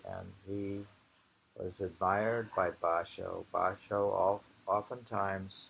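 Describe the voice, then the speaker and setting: A man's voice: low voice, gravelly, husky, rough recording. A man reading poetry and chatting casually about it, rambling and musing aloud as he goes. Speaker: poetry reader and host. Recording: noticeable background noise, amateur home recording.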